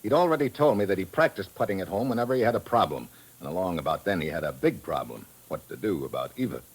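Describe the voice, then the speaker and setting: An actor playing a wisecracking, confident American private investigator in a vintage radio drama, talking in slangy, brash lines. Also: male voice, low-pitched, expressive, fast talker, slightly rough, poor audio. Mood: explaining, triumphant. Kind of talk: 1940s-50s radio drama acting. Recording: vintage radio recording with music.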